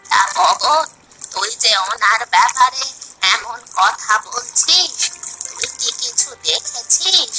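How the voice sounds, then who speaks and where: A woman playing a kindly, inquisitive old village granny in a cartoon story, speaking with deliberate concern. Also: a little high, expressive, pushed and bright, faint music behind, exaggerated voice, poor audio. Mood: curious. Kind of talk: Bengali cartoon story dialogue.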